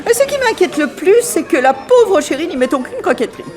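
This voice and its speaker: An actress playing a fifty-year-old English society widow and aunt, haughty, effusive, flustered and lovestruck, in a 1960s French radio play. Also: female voice, very high-pitched, sing-song, fairly quick. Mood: lovestruck confiding. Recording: old radio drama with dance music and crowd.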